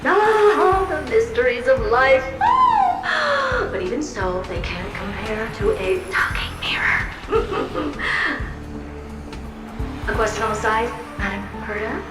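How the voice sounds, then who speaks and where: A talking mirror in a video game, high and wildly expressive. It is a theatrical, gushing, eager assistant that draws its words out. The voice is echoey and sits under loud music. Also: female voice, slow, recorded from a distance, exaggerated voice. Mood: playful, curious. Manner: dramatic, playful, gushing. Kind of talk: English video game voice acting.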